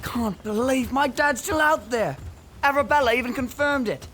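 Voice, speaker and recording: A performer playing a sensitive young child in an audio drama, earnest and expressive, with faint noise behind. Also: high voice, normal speed. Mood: wistful. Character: sensitive, distressed young child pleading for peace.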